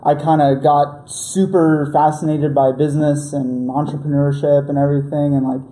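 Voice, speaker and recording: A man's voice: a little high, little pitch movement, normal speed, warm tone, rough recording. A young man hosting a podcast who talks in a friendly, eager, casual way and often repeats his words. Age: young adult. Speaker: podcast host.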